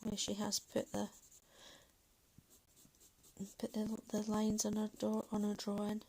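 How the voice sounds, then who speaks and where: Woman in her thirties, Mancunian with a Scottish lilt, rambling and chatty, mid-range pitch. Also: completely flat delivery, normal speed, warm tone, poor audio. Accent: Mancunian English with a Scottish lilt. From England, Manchester. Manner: chatty, rambling, many fillers and restarts. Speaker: livestream host. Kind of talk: casual chat while colouring.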